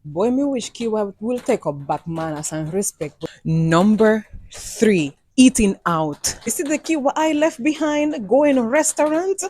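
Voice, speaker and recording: A woman from Cuba speaks with a mellow, warm tone and a Jamaican accent, storytelling in a lively vlog monologue. She repeats phrases for emphasis and slips into patois. Her voice is recorded dry and close. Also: fairly high pitch, measured pace, no echo.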